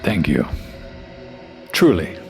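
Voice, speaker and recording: A man playing a courteous, earnest fantasy adventurer with a sincere, measured way of speaking in an audio drama. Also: a bass voice, huge pitch swings, darkish tone, faint music behind, heavily acted. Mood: sincere, awed.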